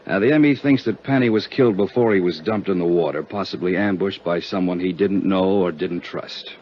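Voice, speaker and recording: A seasoned American police lieutenant played by a male actor with a deep voice and a brusque, matter-of-fact manner. It is 1950s radio crime drama, muffled and hissy with clear noise. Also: a little fast, close to an everyday voice.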